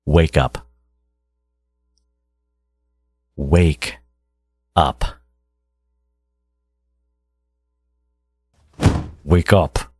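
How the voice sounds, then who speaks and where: Man acting a very deep, gravelly supervillain. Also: no room sound, exaggerated voice. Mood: demanding, scornful. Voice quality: gravelly, husky, low. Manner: condescending, menacing, theatrical, slipping into whispers. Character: menacing, obsessive genius supervillain, exasperated yet caring archnemesis. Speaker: voice actor in roleplay audio. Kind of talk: dramatic second-person audio roleplay monologue.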